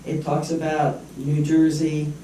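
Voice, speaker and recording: A man's voice: on the high side, completely flat delivery, measured pace, warm tone, slight background noise. An older man giving a rambling, sardonic public comment at a town meeting. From born in Japan. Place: large echoey meeting room. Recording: echoey hall from far off.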